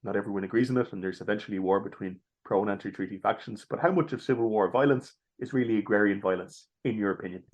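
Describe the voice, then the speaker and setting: A man hosts a podcast in a conversational interviewing style. He talks quickly in a fairly low voice. There is a husky grain to it. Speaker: podcast host.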